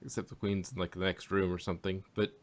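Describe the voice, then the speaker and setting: Halting man in casual sci-fi chat. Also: deep voice, flat delivery. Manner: halting, circling back over phrases. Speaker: podcast host reviewing audio drama.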